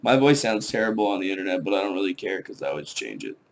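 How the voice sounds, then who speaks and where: A man's voice: low voice, sing-song, racing, vocal fry, a little hiss or hum. A man hosting a casual live stream, bantering loosely and swearing as he chats. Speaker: stream host. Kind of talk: casual chat.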